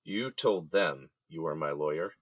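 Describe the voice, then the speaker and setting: Guy acting jailed businessman in audio drama, really low voice, hesitant n troubled, dry close sound. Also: measured pace, dry recording. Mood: reluctant. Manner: hesitant, downcast. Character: troubled, subdued jailed businessman, adult man.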